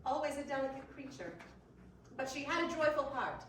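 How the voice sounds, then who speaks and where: A woman playing a calm, solemn guiding spirit with measured, stately, commanding delivery in a readers theater reading. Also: high voice. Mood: gentle reminding. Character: calm, solemn guiding ghost of Christmases past. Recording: distant echoey room, faint noise.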